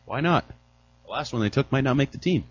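A man's voice: mid-range voice, lively intonation, brisk, breathy edge. A man on a casual sports talk panel, trading teasing, opinionated banter. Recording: dull lo-fi sound.

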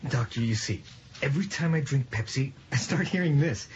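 Comic ad patient, played by an actor: excitable, confiding, lively swooping delivery, noise behind. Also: medium pitch, highs cut off, lightly acted. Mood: anxious, confiding. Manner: halting, earnest, building to urgency. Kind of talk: comic ad acting.

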